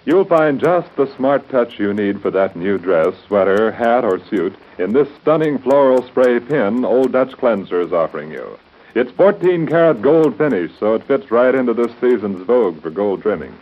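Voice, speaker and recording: Male vintage radio announcer, mid-pitched, polished and persuasive commercial read, narrow muffled broadcast. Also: big pitch swings, normal speed. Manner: polished, persuasive salesman delivery. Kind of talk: 1930s scripted radio commercial.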